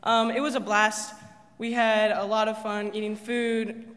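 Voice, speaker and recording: A man's voice: high voice, flat delivery, a little slow, a bit bright. A man joking and rambling, with many restarts, as he tells his church about a mission trip. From born in Uganda, raised in America. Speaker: church member giving a mission trip report. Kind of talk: informal testimony to a congregation. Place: church sanctuary. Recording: long echoey hall reverb.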